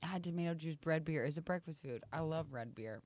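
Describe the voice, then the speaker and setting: A Midwestern American woman co-hosting a morning show, her voice raspy and a little low. She chats casually and teases over a video call, and the sound is dry and close. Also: brisk, no echo. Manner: teasing, chatty, animated with guests. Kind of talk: casual chat.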